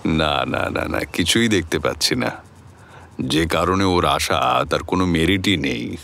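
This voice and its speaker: A man in a radio drama playing a genial older learned mentor, amused and assured, explaining things in an avuncular, expressive way. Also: very deep voice, unhurried, creaky, exaggerated voice. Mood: amused, reassuring. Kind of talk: Bengali radio drama acting.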